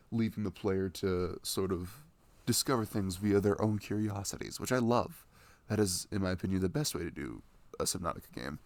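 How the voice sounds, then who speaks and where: A man's voice: sits low, a little mellow, no echo. A man playing a sweet, affectionate, nerdy young boyfriend in an ASMR roleplay, chatting tenderly in a casual, rambling way. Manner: tender, rambling, giggly, gushing about games.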